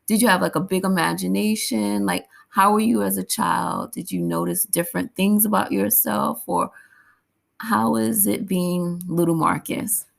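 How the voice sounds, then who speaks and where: A woman's voice: mid-range voice, steady tone, moderate pace, creaky. A woman hosting an interview show, chatty and upbeat, laughing often as she asks her questions. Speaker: podcast host and interviewer.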